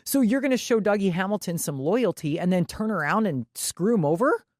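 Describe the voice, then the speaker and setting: A woman co-hosting a podcast with casual, opinionated sports talk, blunt and indignant in manner and quick to swear. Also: medium pitch, lively intonation, darkish tone, dry recording, studio quality. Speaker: podcast co-host.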